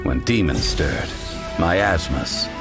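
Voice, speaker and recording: A grave narrator who reads out ancient legend in a game. His voice is low and his delivery slow and solemn. Loud game music plays under the words. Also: lively intonation, vocal fry, exaggerated voice. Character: grave male narrator reading ancient lore.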